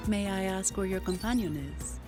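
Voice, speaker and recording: A woman's voice: medium pitch, moderate pace, natural voice. A woman playing a formal, composed, drily polite bank employee and bodyguard in a video game. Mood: composed, professional. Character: composed, businesslike female bank staffer and bodyguard. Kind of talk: scripted video game dialogue. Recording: game music behind the voice.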